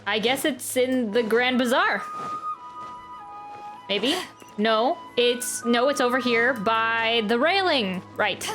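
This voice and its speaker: High-voiced, chatty woman streamer. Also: animated, takes it slowly. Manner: chatty, musing aloud. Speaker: game streamer. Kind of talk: casual live reaction commentary. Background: game music.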